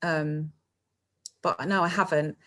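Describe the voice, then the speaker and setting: A woman's voice: low-pitched, steady tone, slow pace, a bit bright. Chatty woman who laughs often. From England. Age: middle-aged. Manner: chatty, laughs often, many fillers and tag questions. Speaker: podcast guest, singer. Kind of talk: casual interview chat.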